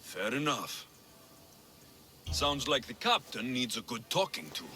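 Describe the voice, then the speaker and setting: A man's voice: mid-range voice, sing-song, measured pace, pushed and bright. A man playing a wry, direct, protective ancient Greek mercenary in a video game. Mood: firm, kind. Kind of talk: video game character acting.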